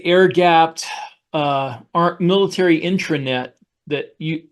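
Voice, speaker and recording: A man co-hosting a podcast, telling anecdotes in casual chat with a halting, rambling delivery. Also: fairly high pitch. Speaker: podcast co-host, author.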